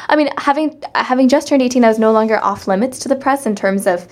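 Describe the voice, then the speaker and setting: Higher-voiced woman telling a casual story. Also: fairly quick. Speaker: interview guest, stage actress.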